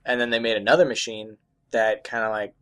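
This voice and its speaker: A man doing casual, halting, profane gaming commentary alongside a co-host, in a joking way. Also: lowish voice, little pitch movement, a bit bright. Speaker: Let's Play commentator.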